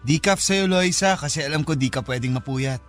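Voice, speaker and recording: An actor plays a young Filipino boyfriend in a radio drama. He is easygoing and reassuring, with a casual delivery and a slightly high voice. Light music plays underneath. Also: fairly quick, fairly bright tone, lightly acted. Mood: relaxed, reassuring.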